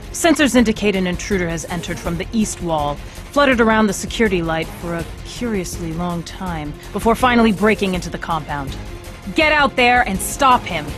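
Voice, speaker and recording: A woman's voice: mid-range voice, a bit bright, natural voice. A woman playing a stern middle-aged government commander in a video game, speaking with authority and dry sarcasm. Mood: commanding, sarcastic. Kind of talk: scripted video game acting.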